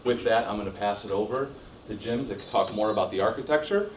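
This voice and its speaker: A loud, friendly man presenting a housing project to a township meeting. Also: fairly low pitch, fairly quick, slight background noise. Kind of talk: public presentation. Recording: echoey room, distant mic.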